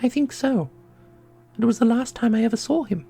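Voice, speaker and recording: A man voicing a solemn, knowing keeper of an ancient magical order in a fantasy audio roleplay, speaking in a formal, measured way. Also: extremely high pitch, expressive, creaky, warm tone, slightly rough, full character voice. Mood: solemn, wistful. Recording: music under the voice.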